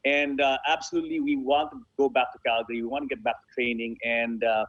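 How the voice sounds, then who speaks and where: Guy being interviewed, quick husky voice, emphatic, kinda dull lofi call sound. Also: medium pitch, flat delivery, dry recording. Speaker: guest, bobsled team president. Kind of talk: enthusiastic interview talk.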